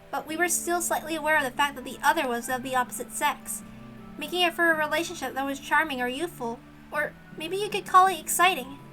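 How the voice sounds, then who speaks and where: A woman's voice: sits high, sharp tone, rough recording. A woman reading a visual novel aloud as dramatic scripted narration.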